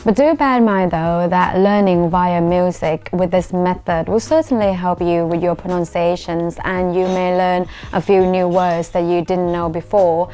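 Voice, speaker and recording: Low-pitched Thai-accented young woman. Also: dark tone. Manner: earnest, explanatory, self-deprecating. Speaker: YouTuber. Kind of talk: talking to camera.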